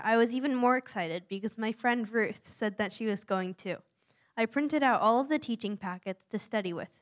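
Young woman earnestly reading her account. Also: mid-range voice. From United States. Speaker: youth mission volunteer. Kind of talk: reading a prepared personal account aloud.

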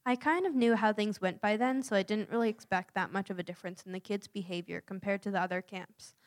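A woman's voice: medium pitch, quick pace. Young woman earnestly reading her account. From United States. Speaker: youth mission volunteer. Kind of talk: reading a prepared personal account aloud.

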